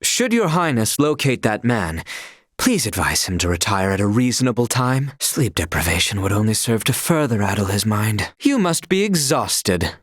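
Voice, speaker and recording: An actor voicing an earnest, stern young knight in a video game, with formal, archaic and stiffly proper diction. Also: male voice, fairly low pitch, very animated, normal speed, no echo, studio quality. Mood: polite, proper.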